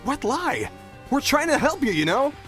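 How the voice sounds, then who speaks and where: A woman's voice: high voice, big pitch swings, moderate pace, soft background music. A woman playing a hot-headed, loyal young student in an audio drama, blunt, exasperated and pleading. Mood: incredulous.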